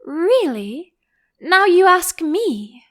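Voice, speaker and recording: A woman's voice: extremely high pitch, big pitch swings, extremely slow, a bit bright, pitched above the performer's own voice, full character voice. A woman voicing a curious, polite, easily confused young girl with a questioning manner in an audiobook. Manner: cautious, questioning.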